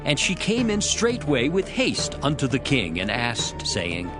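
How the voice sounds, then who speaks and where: A man narrating a dramatized scripture production with measured, expressive storytelling. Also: mid-range voice. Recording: music behind the voice. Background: prominent background music.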